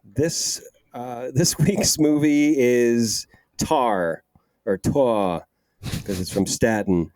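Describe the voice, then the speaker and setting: A man's voice: medium pitch, extremely slow, darkish tone, no echo. A man hosting a casual movie podcast, easygoing and chuckling as he reads the film's summary. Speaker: podcast host.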